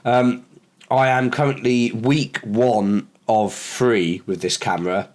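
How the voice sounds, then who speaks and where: A man with a sore throat who sounds a little croaky, chatting casually in a review vlog with lots of fillers and restarts. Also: mid-range voice, fairly even intonation, slow pace, darkish tone. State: hoarse from a cold. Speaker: camera reviewer.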